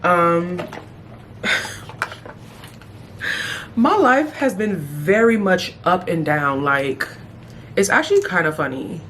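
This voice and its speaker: A woman's voice: on the low side, lively intonation, slow, long pauses, fairly bright tone, slight background noise. Chatty, playful woman in her thirties. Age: 30s. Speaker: beauty vlogger. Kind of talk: casual get-ready-with-me chat. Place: home, doing makeup.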